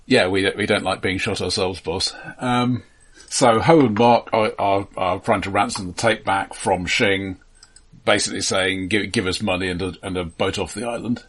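A man with a fairly low, somewhat husky voice talks through a film in a casual co-host's manner. He restarts and doubles words as he goes. His humour stays dry and understated. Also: steady tone, a bit bright. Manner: halting, repeats words and restarts often. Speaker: film podcast co-host.

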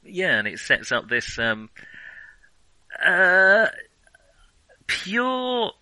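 British guy on a film podcast, really bright forward voice, bit halting, dry sound. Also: high-pitched, very animated, dry recording, poor audio. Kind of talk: casual film discussion.

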